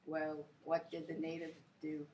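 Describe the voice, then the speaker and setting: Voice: mid-range voice, completely flat delivery, unhurried, a little mellow, recorded from a distance. A talk show host in a homemade skit, asking questions in an upbeat, chatty way. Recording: roomy, off-mic and noisy.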